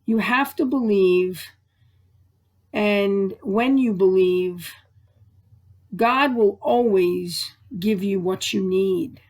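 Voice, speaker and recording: A woman librarian reading aloud to children and families in a deliberate, expressive storytelling way. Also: medium pitch.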